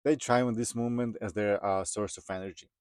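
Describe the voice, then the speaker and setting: A man's voice: on the low side, steady tone, brisk, clear, resonant tone, no room sound, clean studio sound. A man in his thirties from Ukraine with a Ukrainian accent, telling his personal story to viewers as a vlogger. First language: Ukrainian or other non-English. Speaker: vlogger, truck driver.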